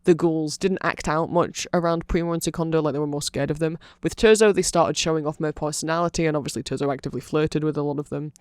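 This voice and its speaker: A man's voice: fairly high pitch, steady tone, fast talker, few pauses, a little mellow, smooth, no echo, studio quality, natural voice. A man voicing a nerdy vampire who gives a rambling, earnest and fannish ASMR explanation full of fillers. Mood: eager, chatty.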